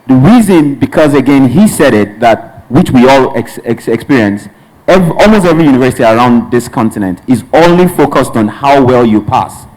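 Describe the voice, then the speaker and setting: A man's voice: medium pitch, expressive, normal speed, darkish tone, poor audio. Earnest Nigerian man on a conference panel. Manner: earnest, discursive, with restarts. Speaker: panelist, hub representative.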